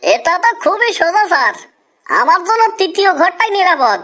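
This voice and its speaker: A woman's voice: extremely high pitch, big pitch swings, normal speed, a bit bright, heavily acted. A woman voicing a polite, confident cartoon job candidate, explaining answers patiently and deferentially. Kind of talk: comic cartoon dialogue.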